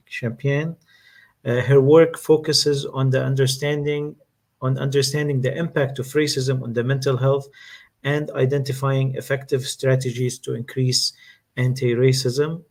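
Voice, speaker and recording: A man moderating an online talk, giving formal speaker introductions in a measured, earnest way over a video call. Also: mid-range voice, a little mellow. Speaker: webinar moderator.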